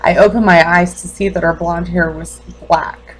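A woman playing a grieving, defensive mother in a dramatic monologue, halting and intense. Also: lowish voice, fairly even intonation, slightly rough, low-quality recording. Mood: anguished, grieving. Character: grieving, defensive mother confronting her doctor.

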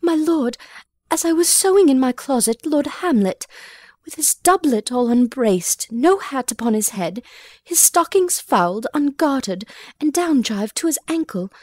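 A woman's voice: high voice, lively intonation, measured pace, a little mellow, no echo. An actress playing a frightened, obedient young noblewoman, earnest and distressed, in a dramatic Shakespeare reading.

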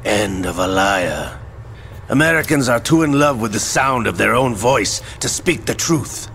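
An actor playing a sly, theatrical older villain in a video game, raspy, with a smug and menacing drawl. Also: male voice, mid-range voice, expressive, a little slow. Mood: mocking. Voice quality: raspy, gravelly. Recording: noisy ambience behind.

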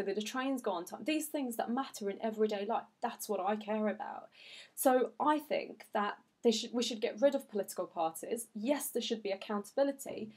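Chatty young woman with a slightly high voice. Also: darkish tone. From Iran, raised in Sweden. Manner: chatty and earnest, many fillers. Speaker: vlogger answering viewer questions. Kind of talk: casual chat. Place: her office.

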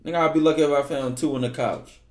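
A young man streaming from his bedroom on a headset, reading a book aloud with relaxed, slangy asides. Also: fairly high pitch, fast, roomy sound. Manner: relaxed, slangy, loud outbursts when joking.

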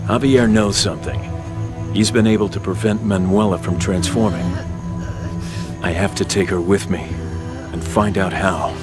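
An actor playing an earnest, determined, cool-headed government agent in a video game. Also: male voice, deep voice, steady tone, moderate pace, long pauses, lightly acted. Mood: resolute. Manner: earnest, resolute, to the point. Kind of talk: video game action hero acting. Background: loud background music.

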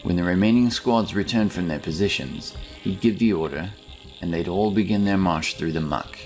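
A man reading a scripted fantasy story as an audiobook narrator, in a dramatic, deliberate manner. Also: sits low, darkish tone, faint music behind, poor audio.

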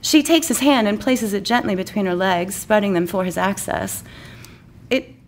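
A woman's voice: mid-range voice, lively intonation, moderate pace, slight background noise. A woman reading her own comic story as narrator, in a florid, mock-serious romantic style. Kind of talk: mock-romantic scripted narration for a live comedy story night.

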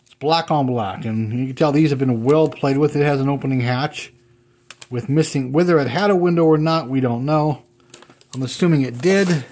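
A man's voice: medium pitch, moderate pace, a little mellow. A middle-aged man chatting casually as he unboxes old toy cars at a table at home, thinking aloud as he handles each one. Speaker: toy car collector unboxing.

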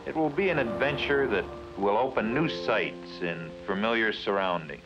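A man's voice: on the low side, animated, moderate pace. A man narrating an old promotional film in a grand, declamatory style. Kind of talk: vintage documentary narration. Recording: dull lo-fi archive film sound with music.

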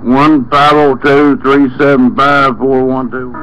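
A man's voice: mid-range voice, slow. A man making a prank phone call, complaining in a folksy, rambling, repetitive way with frequent exclamations. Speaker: prank caller. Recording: noisy phone prank recording, steady background noise.

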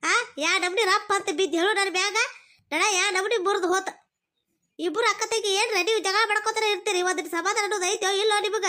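A woman's voice: extremely high pitch, steady tone, pushed and bright. A woman playing a harried village mother who scolds her two quarrelling daughters in a one-person comic skit, speaking. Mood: scolding. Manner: scolding, nagging, rapid.

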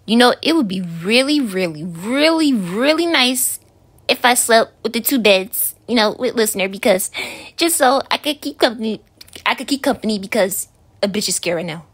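A woman's voice: on the high side, expressive, normal speed, slightly rough. A woman voicing a jumpy, foul-mouthed teen boy. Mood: scared. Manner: swearing, dramatic, jumpy. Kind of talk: ASMR roleplay.

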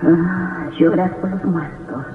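A woman's voice: fairly low pitch, takes it slowly, faint music behind. Female Death, solemn, otherworldly, radio drama, long echoing reverb, tinny distant recording. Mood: solemn, curious. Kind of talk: old radio drama acting.

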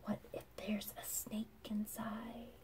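A woman's voice: fairly high pitch, monotone, slow pace, a little mellow, in a whisper. A woman voicing an anxious little girl mouse in a picture book, fretful and questioning. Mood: fearful, hushed.

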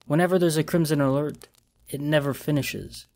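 A man's voice: a little high, steady tone, moderate pace, creaky, a little mellow, voice pushed up. A man voicing a panicked young woman who is speaking over the phone in a horror story. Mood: frightened. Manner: frantic, breathless.

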